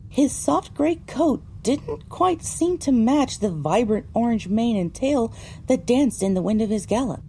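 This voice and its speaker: Mellow-voiced woman narrating a story. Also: a little high, slight background noise, low-quality recording. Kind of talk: scripted audio drama narration.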